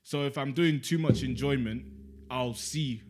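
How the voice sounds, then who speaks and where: A man's voice: medium pitch, measured pace, background noise. A young man, a podcast co-host talking in casual chat, reflective and rambling, with lots of "like" and "you get me". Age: young adult.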